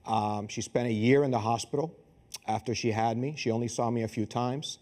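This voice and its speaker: A man's voice: mid-range voice, fairly even intonation, brisk, a little mellow. Earnest man from Puerto Rico. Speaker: guest, life coach. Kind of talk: interview conversation.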